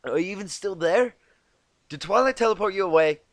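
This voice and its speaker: A man's voice: sits high, animated, fairly quick, dry recording, clean studio sound, voice pushed up. A man voicing a brash, impatient young female pegasus pony from a cartoon fan story, calling out tauntingly. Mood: annoyed calling out. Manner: loud, taunting calls.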